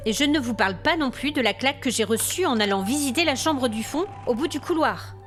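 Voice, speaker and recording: A woman's voice: sits high, animated, fairly quick, a bit bright, soft background music. A woman playing an exasperated, haughty hotel guest with complaints, in an acted audio fiction monologue. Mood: indignant, demanding. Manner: haughty, aggrieved, demanding. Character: indignant, exasperated female hotel guest complaining about ghosts.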